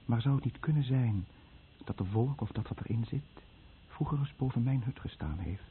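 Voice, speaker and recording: An actor playing a guilt-ridden Japanese fisherman in a radio drama, speaking solemnly and deliberately as he warns his listeners. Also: medium pitch, natural voice. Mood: grave warning. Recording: old radio play, tinny narrow band, faint noise.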